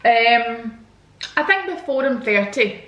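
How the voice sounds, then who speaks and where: A woman's voice: a little high, animated, takes it slowly, fairly bright tone, reverberant, muffled recording. A woman, chatting frankly and casually to her viewers while answering their questions. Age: 20s. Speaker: vlogger. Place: home, indoors. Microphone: camera mic.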